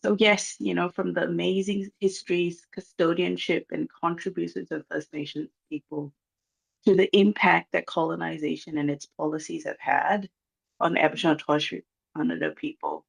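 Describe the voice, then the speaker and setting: A speaker from Malaysia with a Malaysian accent, giving an earnest, measured address over a video call, pausing often with um. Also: mid-range voice, gravelly, husky, poor audio. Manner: earnest, measured, many um pauses. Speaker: guest speaker from a reconciliation organization. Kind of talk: formal address at a launch event.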